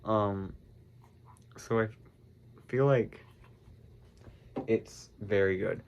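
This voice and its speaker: A man's voice: fairly low pitch, fairly even intonation, drawn-out words, dull audio, a little hiss or hum. A congested teenage boy chatting casually to camera, rambling with lots of fillers and self-corrections. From United States. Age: teens. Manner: meandering, heavy use of "like" and "um", frequent self-corrections. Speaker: vlogger.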